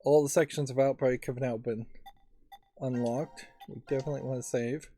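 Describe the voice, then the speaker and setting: A man's voice: mid-range voice, steady tone, moderate pace, dark tone. A man giving casual let's play commentary on a video game in a musing, analytical way. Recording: faint game music behind.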